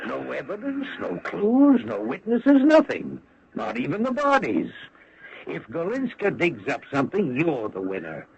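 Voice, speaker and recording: An actor playing a hard-nosed, insistent American small-town official in an old radio drama, emphatic and persuasive. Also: male voice, on the high side, creaky, slight background noise, heavily acted. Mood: firm. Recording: dull vintage radio broadcast recording.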